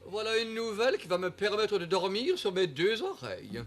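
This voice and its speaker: An actor voicing a fussy, pompous, easily panicked elephant courtier in a French cartoon dub. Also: male voice, sits high, very animated, normal speed, a bit bright, soft background music, heavily acted. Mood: sarcastic. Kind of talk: French-dubbed cartoon acting.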